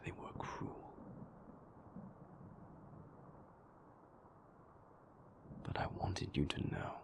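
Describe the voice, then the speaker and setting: Low, whispering, remorseful vampire boyfriend acted by a man. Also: full character voice. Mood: remorseful, hushed. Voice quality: breathy, low, gravelly. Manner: halting, deliberate, measured phrasing with long pauses. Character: remorseful male vampire boyfriend, earnest and guarded. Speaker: audio roleplay performer. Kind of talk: intimate boyfriend audio roleplay, soft whispered monologue.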